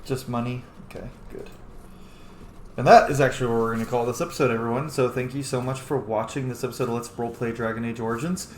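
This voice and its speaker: Man, let's play host, casual sweary commentary, mid-pitched voice, roomy echo, noisy background. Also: steady tone, fast. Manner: sweary, talks to game characters.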